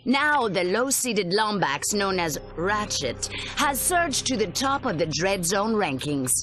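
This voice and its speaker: An actress playing a polished, mock-grave news anchor in a video game sports broadcast parody. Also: female voice, mid-range voice, big pitch swings, a little slow, fairly bright tone, soft background music. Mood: dramatic, mock-grave.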